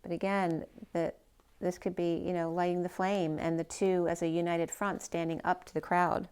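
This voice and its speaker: A woman's voice: lowish voice, flat delivery, normal speed, a little mellow, clean tone. A woman giving a casual tarot reading who is losing her voice. She thinks aloud, trails off and restarts her sentences. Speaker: tarot reader.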